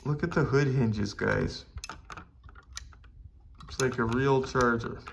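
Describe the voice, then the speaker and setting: A Canadian man speaks in a medium-pitched voice as he hosts a casual collectibles review. He addresses viewers directly and sounds keen on the subject. He often repeats phrases to drive a point home. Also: takes it slowly, gravelly, a little hiss or hum. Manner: enthusiastic, repeats phrases for emphasis. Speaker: collectibles video host.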